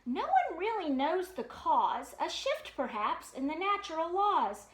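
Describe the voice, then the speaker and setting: Storytime reader: woman, very high, animated voice, sing-song delivery, roomy echoey recording. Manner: sing-song, expressive, pauses to question young listeners. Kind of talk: animated read-aloud of a children's picture book with chatty asides.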